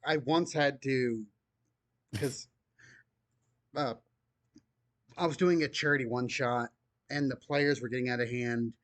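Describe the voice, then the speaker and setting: A man game master chatting casually, speaking calmly and evenly. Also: mid-range voice, unhurried, long pauses, no room sound. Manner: calm, even-tempered talker. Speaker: game master, video creator. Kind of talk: casual podcast chat.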